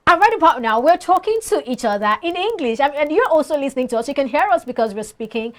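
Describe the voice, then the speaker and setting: A woman's voice: sits high, quick pace. A woman with a Nigerian accent co-hosting a morning talk show, reading viewer reactions and arguing her point with emphasis. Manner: animated, emphatic when making a point. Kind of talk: studio talk show discussion.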